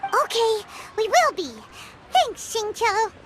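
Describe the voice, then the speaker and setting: A woman's voice: extremely high pitch, animated, slow, heavy background noise, exaggerated voice. A woman voicing a tiny, childlike, eager fairy girl companion in a video game, cutesy and exclamatory. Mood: cheerful. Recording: prominent background music.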